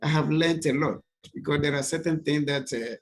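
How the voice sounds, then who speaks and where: A man introduces himself as a linguist on a video call, halting and restarting as he searches for words. His mid-pitched voice has a gravelly, creaky texture. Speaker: linguist and lecturer. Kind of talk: self-introduction at an online conference.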